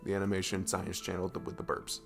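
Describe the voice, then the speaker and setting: A lowish male voice , performed by an actor plays an affectionate young best friend in a romantic roleplay audio. He rambles in a casual, goofy, gushing way, as if chatting one to one. Faint music plays behind him. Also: monotone, fast talker, gravelly. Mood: goofy, amused. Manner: rambling, gushing, informal, chuckling between phrases. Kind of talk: romantic ASMR roleplay audio, casual improvised-sounding chat.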